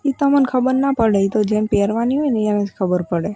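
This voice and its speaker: A woman's voice: mid-range voice, brisk, warm tone, husky, soft background music, lightly acted. A woman with a Gujarati accent playing a demanding village in-law who wheedles insistently in a casual phone conversation. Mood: coaxing, stubborn.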